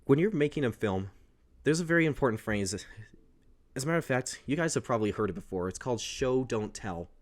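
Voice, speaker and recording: A young man with a baritone voice casually recapping a film, rambling and correcting himself as he goes. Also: lively intonation, fairly quick. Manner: rambling, self-correcting, with restarts. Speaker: host.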